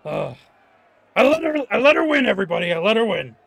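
A man doing a big boastful arm-wrestling brute, gloating and sore at losing, with a voice a touch higher than an ordinary man's, swinging up and down in pitch as he blusters. A tabletop game figure with a short temper. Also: very animated, fast, gravelly, fairly bright tone, no echo, full character voice. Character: brawny boastful male arm-wrestling champion.

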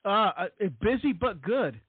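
A man's voice: high voice, very animated, unhurried, husky, dry recording. A middle-aged man from Barbados, a deacon and author, telling his story as an interview guest with many fillers and repeats. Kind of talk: casual interview. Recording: tinny phone-like audio, dry.

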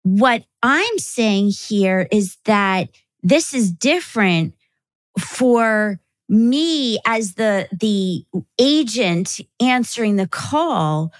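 A woman hosts a podcast interview, speaking slowly with thoughtful pauses. Her pitch sits in the middle, and the sound is dry and close. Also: expressive, no echo. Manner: measured, warm affirmations, thoughtful pauses. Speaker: host.

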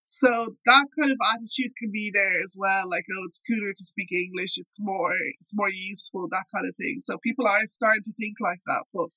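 A woman's voice: medium pitch, fairly even intonation, a little fast, hardly any pauses, pushed and bright, dry recording, low-quality recording. A young woman raised in Ireland, chatting as an interview guest and filling her talk with "like" and "I suppose". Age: young adult. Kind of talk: casual interview.

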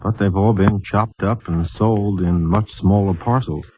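An actor playing a plain-spoken, breezy American investigator with a blunt, casual manner, in a 1950s radio drama. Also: male voice, lowish voice, fairly even intonation, a little slow, a little hiss or hum, lightly acted. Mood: matter-of-fact, probing. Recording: muffled, narrow-band vintage radio recording.

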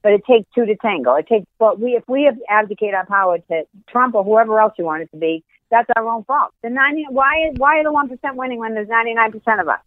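A woman's voice: a little high, expressive, quick pace, hardly any pauses, no room sound, narrow, tinny audio. A woman with a nasal voice chatting casually and emphatically as an interview guest, often repeating her phrases. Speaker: interview guest, actress and film producer. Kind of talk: casual chat. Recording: thin telephone or radio-like line.

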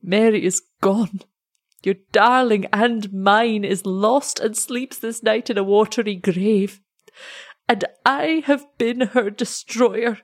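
A man's voice: high voice, level tone, normal speed. A woman reading aloud, voicing a young male shepherd who is grief-stricken and pleading in a mournful, heightened way. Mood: anguished.

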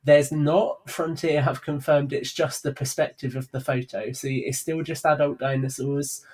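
Male guest, somewhat higher register, informal enthusiast explaining things conversationally. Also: steady tone, normal speed, darkish tone. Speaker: podcast guest. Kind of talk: casual chat, explaining game news.

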